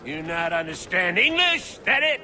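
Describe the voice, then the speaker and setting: An actor playing a hostile, bullying jail guard in a video game, barking with contempt. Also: male voice, high voice, sing-song, moderate pace, sharp tone, slight background noise, full character voice. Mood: menacing, contemptuous. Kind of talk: video game cutscene acting.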